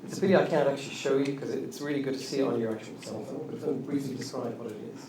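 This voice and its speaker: A man hosts a live talk in an informal, fast-moving way, at a middle pitch. His voice comes through a very reverberant room, away from the microphone. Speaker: panel host. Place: indoor event room with audience. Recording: very echoey, noisy room, distant microphone.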